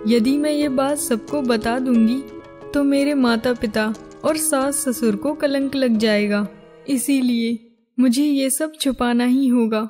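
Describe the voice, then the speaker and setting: A woman's voice: high voice, steady tone, slow pace. A woman voicing a guilt-ridden young princess in a cartoon story, with an earnest, dramatic manner. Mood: anguished, secretive. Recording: music behind the voice.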